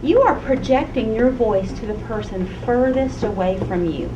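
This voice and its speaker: A woman with a high voice addresses a class of young children as their teacher. She projects loudly and keeps asking them questions. The room is echoey, and clear noise surrounds her. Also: animated, normal speed, dull audio. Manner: asks children frequent check-in questions. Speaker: school librarian or reading teacher. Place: elementary school library. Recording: echoey, noisy room, distant microphone.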